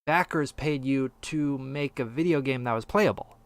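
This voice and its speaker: A man's voice: mid-range voice, moderate pace, clear, resonant tone, no echo. A man co-hosting a casual, opinionated gaming discussion, rambling and a little hesitant with half-finished thoughts. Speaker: podcast co-host.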